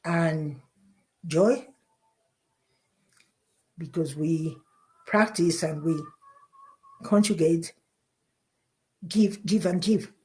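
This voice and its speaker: A middle-aged woman from Mexico, a conservation leader speaking English, passionate and halting with fillers and repeats. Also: low-pitched, darkish tone, slightly rough, poor audio. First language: Spanish. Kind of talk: interview answers.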